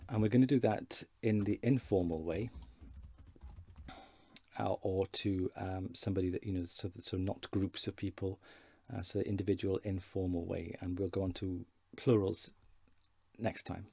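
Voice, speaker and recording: A man in his forties, a fluent Welsh speaker, giving a relaxed, chatty beginner lesson from his desk with hesitations and repeated words. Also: sits low, normal speed, gravelly, slightly rough. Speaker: Welsh language teacher. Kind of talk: casual online lesson.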